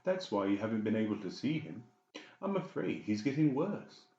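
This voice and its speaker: A man's voice: lowish voice, very animated, moderate pace, dark tone, echoey room, muffled recording. A man voicing a falsely sweet, scheming psychiatrist with exaggerated politeness in a dramatised audiobook. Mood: feigned concern.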